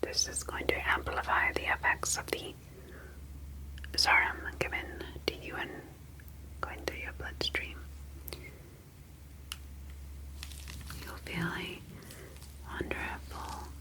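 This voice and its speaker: A woman playing a calm, superior ocean alien scientist in an ASMR roleplay, speaking in a breathy, soothing whisper with formal, deliberate phrasing. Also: close to an everyday voice, low-quality recording. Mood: soothing, clinical.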